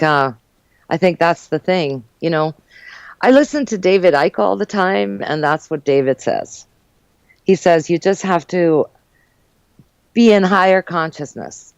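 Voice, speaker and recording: A woman's voice: low voice, unhurried, smooth, no echo. An older woman, born in Uruguay and raised in New Jersey and New York, chatting as an interview guest and telling her story with lots of fillers. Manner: chatty, warm storytelling with frequent fillers and laughs. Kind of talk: casual interview chat.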